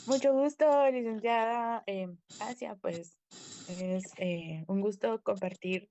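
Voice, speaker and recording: A woman student interviewer asks her question politely, hesitating with fillers. Her voice is mid-pitched and comes over a dull, lo-fi call line. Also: steady tone, takes it slowly, mellow, a little hiss or hum.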